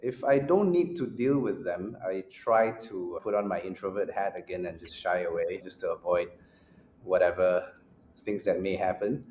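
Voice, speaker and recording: A low-voiced man talks things through calmly, setting out his points one by one. His voice rings in a roomy space, with a faint hiss of background noise under it. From Malaysia. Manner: measured and reflective, lays out points in order. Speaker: guest, music school founder. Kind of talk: casual interview conversation.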